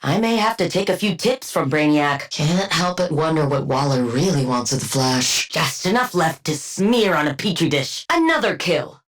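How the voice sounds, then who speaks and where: A woman's voice: sits low, lively intonation, normal speed, hardly any pauses. A haughty female supervillain scientist from a video game. She speaks with clipped, precise diction and a dry, clinical wit. Her self-important delivery treats everyone around her as a lesser mind. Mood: smug, sardonic. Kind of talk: scripted game character voice lines.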